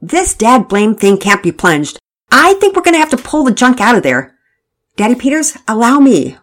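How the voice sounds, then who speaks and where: A woman's voice: a little high, sing-song, moderate pace, pitched above the performer's own voice. Woman doing a slangy, eager young neighbor guy. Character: cheery young tattooed neighbor man, helpful.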